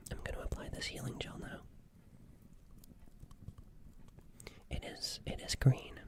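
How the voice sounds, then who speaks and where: Gentle alien nurse played by a woman, whispered ASMR, hesitant and reassuring. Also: a little fast, lightly acted. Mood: soothing. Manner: soothing, hesitant, repeats phrases softly. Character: gentle flustered alien nurse tending a crash survivor.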